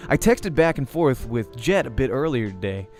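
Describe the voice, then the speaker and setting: A man hosts a visual novel playthrough, reading the narration aloud like a storyteller. His voice sits at a medium pitch, and music plays in the background. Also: very animated, normal speed, darkish tone. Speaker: let's play host and reader.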